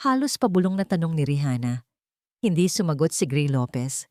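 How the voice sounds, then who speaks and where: A woman's voice: low-pitched, sing-song, moderate pace, darkish tone, no echo, studio quality. A woman reading a scripted romance story as a dramatic narrator.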